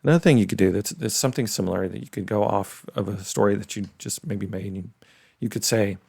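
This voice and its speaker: A man's voice: fairly low pitch, fast talker, creaky, a little mellow, husky. A middle-aged man, hosting a tech series with casual, unscripted demo commentary. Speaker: host of a tech tutorial series.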